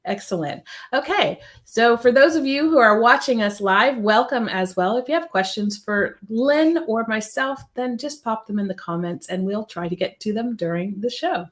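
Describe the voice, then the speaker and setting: A woman's voice: a little high, expressive, normal speed, hardly any pauses, fairly bright tone. Female host, upbeat and welcoming, conversational live interview delivery. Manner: upbeat, welcoming, brief acknowledgments. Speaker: podcast host.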